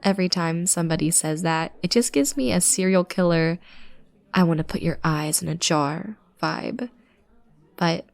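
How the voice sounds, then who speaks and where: A woman's voice: on the low side, gravelly, a little mellow, no room sound. A woman playing a shy, awkwardly sweet young stranger who rambles hesitantly and self-consciously in an intimate roleplay audio drama. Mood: awkward, musing.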